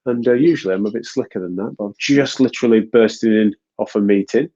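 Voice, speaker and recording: A man's voice: fairly low pitch, fast talker, dark tone, muffled recording. A man hosting a casual live podcast, rambling and self-deprecating, a bit nasal with a stuffy nose. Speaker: podcast host. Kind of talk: casual solo live show.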